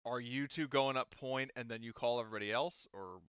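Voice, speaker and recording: A man's voice: mid-range voice, a little fast, a bit bright, clean tone, highs cut off. A man acting as game master, narrating a tabletop game casually in a loose, conversational way.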